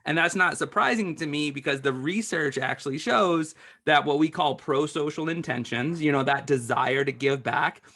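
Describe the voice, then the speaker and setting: A man's voice: a little high, moderate pace, sharp tone. Earnest man explaining research. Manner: earnest, explanatory, uses "like" often. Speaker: author guest. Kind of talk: conversational interview.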